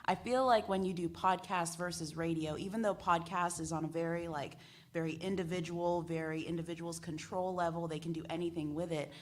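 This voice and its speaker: A woman's voice: fairly low pitch, steady tone, brisk. An American woman radio broadcaster speaking on a panel, earnest and emphatic, repeating phrases for effect. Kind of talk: panel discussion talk, animated storytelling.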